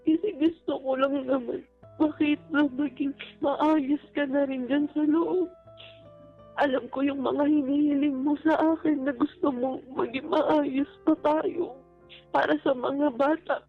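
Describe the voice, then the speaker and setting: Woman phoning into radio show, high creaky voice, earnest n halting, kinda pleading, music behind. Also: steady tone. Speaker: caller seeking relationship advice. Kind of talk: personal, confessional phone-in talk. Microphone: phone call. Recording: tinny phone line with music underneath.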